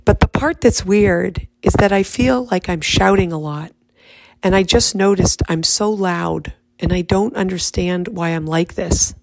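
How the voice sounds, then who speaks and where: Hoarse woman reading her poem out loud, super gravelly fried voice, soft and dry sounding. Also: fairly low pitch, no room sound. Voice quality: raspy, fried voice. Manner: soft, unhurried speaking. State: hoarse from laryngitis.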